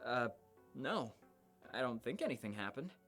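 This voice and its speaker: A woman's voice: rumbling low, sing-song, moderate pace, slightly rough, soft background music, full character voice. A woman voicing an easygoing, nonchalant teenage boy in a visual novel, talking in a casual, hesitant, placating way. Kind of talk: visual novel character acting.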